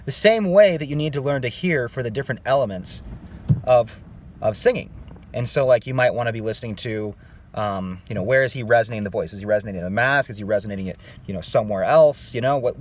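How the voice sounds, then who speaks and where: Guy giving a singing lesson, chatty and direct, keeps saying okay, mid pitch, little hiss in back. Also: lively intonation, fast. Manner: conversational, addresses viewers as "guys", frequent "okay?" check-ins. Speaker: voice teacher. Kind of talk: casual online singing lesson.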